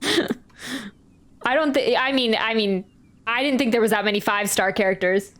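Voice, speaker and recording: Casual woman streamer, slightly high voice. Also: normal speed, a bit bright. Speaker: game streamer. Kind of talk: casual livestream chat and reading game text.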